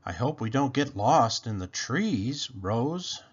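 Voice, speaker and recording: A man's voice: medium pitch, sing-song, slow, husky, highs cut off, full character voice. A man voicing an imaginative, anxious little girl from a storybook, light and childlike in manner. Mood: playful wonder.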